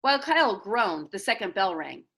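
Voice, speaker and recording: Animated, bright-voiced woman narrating. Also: medium pitch, moderate pace, creaky. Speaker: read-aloud narrator. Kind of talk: expressive children's book narration.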